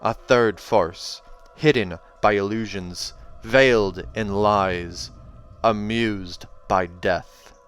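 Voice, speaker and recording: A man's voice: on the low side, slow, a bit bright, clean tone. A man voicing a savage young female seer from a fantasy audiobook, mystical and haunted, speaking in dramatic, incantatory fashion. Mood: ominous, trance-like. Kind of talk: audiobook character acting.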